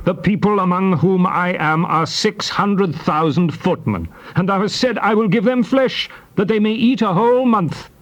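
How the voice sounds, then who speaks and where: An actor playing a burdened prophet in a scripture drama, declaiming earnestly. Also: male voice, high voice, normal speed, few pauses, dull audio, lightly acted. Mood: incredulous protest. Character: Moses, burdened male prophet pleading with God. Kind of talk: dramatized scripture reading in archaic English. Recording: faintly hissy old tape.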